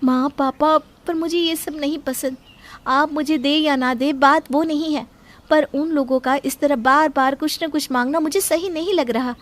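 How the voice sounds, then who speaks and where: Woman acting an earnest, high-voiced young bride-to-be. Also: fairly even intonation, normal speed, close to an everyday voice. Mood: worried, pleading. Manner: earnest, protesting. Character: worried young bride-to-be, a principled dutiful daughter. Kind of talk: dramatised Hindi story acting.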